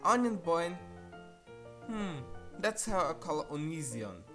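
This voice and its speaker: Low-voiced woman reviewer, slow and blunt. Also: very animated. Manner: blunt, sarcastic, casually crude. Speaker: video reviewer. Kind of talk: opinionated countdown review narration. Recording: music behind the voice. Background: music.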